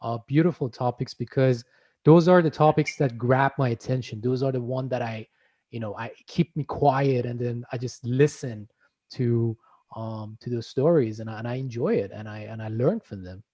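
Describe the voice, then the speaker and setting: A man hosting a conversational interview show, earnest and unhurried as he lays out his questions. The recording is somewhat dull and muffled, with the highs rolled off. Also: dark tone. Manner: earnest, with fillers and self-corrections. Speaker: host. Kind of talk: casual interview chat.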